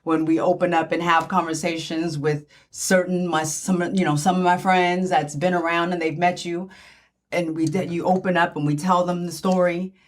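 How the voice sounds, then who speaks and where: A middle-aged woman co-hosting in casual conversation, halting and repeating her words for emphasis. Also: fairly low pitch, level tone. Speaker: podcast co-host asking questions.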